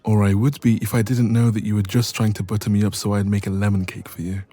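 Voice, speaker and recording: A man's voice: fairly low pitch, quick pace, lightly acted. A man playing a playful, teasing young best friend in a romantic roleplay audio, chatting casually and intimately. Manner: playful, teasing, expressive, soft laughs.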